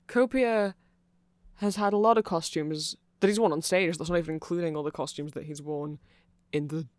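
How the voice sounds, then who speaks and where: A man with a slightly high voice talks in a casual, rambling ASMR explainer style. He sounds nerdy and keen on his subject, and the recording is close and dry with little room sound. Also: moderate pace, smooth, no room sound. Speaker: ASMR audio creator.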